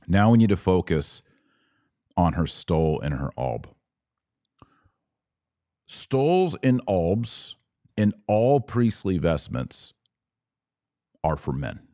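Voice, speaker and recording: American male podcast host, low-pitched, emphatic and conversational, opinionated religious commentary, very dry recording. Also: very animated, a little slow, long pauses, dark tone, no room sound. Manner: emphatic, conversational, rhetorical asides.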